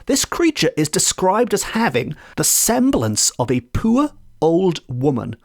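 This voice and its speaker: A man's voice: on the high side, a little slow. A man from Wales who speaks Welsh, hosting a folklore podcast from home and telling the tale with playful asides. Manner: lively, animated storytelling with playful asides. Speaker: podcast host. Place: home in a residential area.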